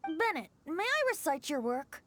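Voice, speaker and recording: A woman's voice: very high voice, very animated, moderate pace, a bit bright, exaggerated voice. A woman voicing a playful, theatrical young male bard with polished, lilting phrasing in an English game dub. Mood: teasing.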